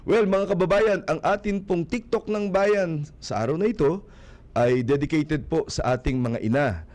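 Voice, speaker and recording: A man's voice: a little high, normal speed, darkish tone. A man with a Filipino accent hosting a radio program, addressing listeners in an opening monologue.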